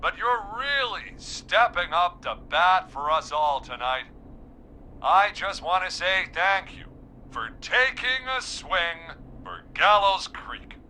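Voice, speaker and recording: Slow, pompous small-town politician. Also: male voice, sits high, big pitch swings, pushed and bright, slight background noise, heavily acted. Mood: pompous, solemn. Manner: slow, pompous, rehearsed speechifying. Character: smug, pompous small-town politician, heir of founding family. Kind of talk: video game voice acting.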